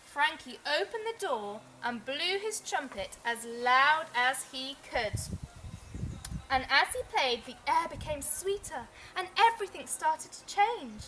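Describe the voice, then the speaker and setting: A woman reads a picture book aloud for children in a high, bright voice. She is expressive and takes her time, leaning into key words for drama, with faint noise in the recording. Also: rough recording. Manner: expressive, deliberate, with dramatic emphasis. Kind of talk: children's storybook read-aloud.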